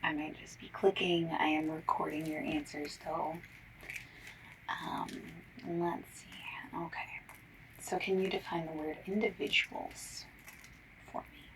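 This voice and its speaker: A woman playing a shy, nervous phone survey caller in an ASMR roleplay, speaking softly and often near a whisper, hesitant and apologetic. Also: low-pitched, big pitch swings, measured pace, far from the mic, noisy background, exaggerated voice. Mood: gentle, hesitant. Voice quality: soft, breathy, often slipping into whisper. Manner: hesitant, apologetic, rambling, gentle.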